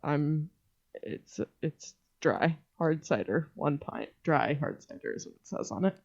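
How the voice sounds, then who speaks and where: A woman's voice: sits low, animated, measured pace, gravelly, highs cut off. A woman co-hosting a podcast in casual banter with friends, with dry, sardonic quips. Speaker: podcast co-host.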